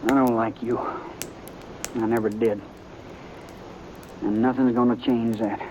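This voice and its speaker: An actor playing a quiet, stubborn young cowhand in a radio western, terse and plain-spoken. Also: male voice, medium pitch, level tone, unhurried, natural voice. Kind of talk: 1950s radio western acting. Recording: muffled, hissy old radio recording.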